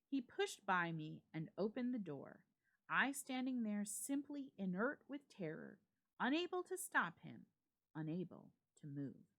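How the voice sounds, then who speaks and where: A woman storyteller giving a suspenseful, expressive dramatic reading of a ghost story with deliberate phrasing. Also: medium pitch. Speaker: storyteller, library reader.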